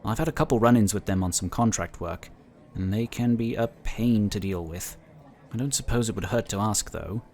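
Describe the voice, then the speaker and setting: A man acts out a male inquisitor from a sci-fi audio drama. His voice sits on the low side. He speaks in measured, articulate phrases with dry understatement and a cautious, protective streak. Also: darkish tone, a little hiss or hum, close to an everyday voice. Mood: wry, informative.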